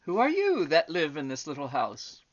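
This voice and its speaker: A reader playing a high, polite little butterfly. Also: huge pitch swings, normal speed, fairly bright tone, muffled recording, slight background noise, heavily acted. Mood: polite curiosity. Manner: polite, lilting questions. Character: gentle, polite, curious little male butterfly.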